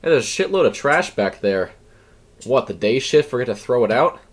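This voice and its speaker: A man voicing a jittery young male night-shift fast-food worker in an informal, hesitant way for an audio story. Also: mid-range voice, sing-song, voice pushed up. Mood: irritated.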